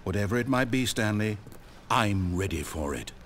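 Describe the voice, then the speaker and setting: A man's voice: lowish voice, expressive, normal speed, a little hiss or hum, lightly acted. A man with a British accent playing a fussy, whimsical, easily exasperated storyteller in a video game, conversational and theatrical. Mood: scheming, eager. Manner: conversational, self-interrupting, dramatic. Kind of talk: theatrical scripted narration.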